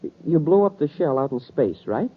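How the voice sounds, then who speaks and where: Casting: keen junior space cadet, boyish and deferential, husky edge, narrow old radio-serial sound. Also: male voice, fairly high pitch, very animated, fairly quick. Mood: curious, excited. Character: eager young male space cadet sidekick. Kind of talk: old-time radio adventure acting. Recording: narrow, muffled vintage radio recording.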